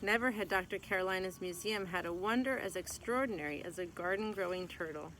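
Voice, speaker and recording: A woman's voice: on the low side, a little fast, a bit bright, poor audio. A woman reading a children's picture book aloud as a teacher would, in scripted narration. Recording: clear background noise.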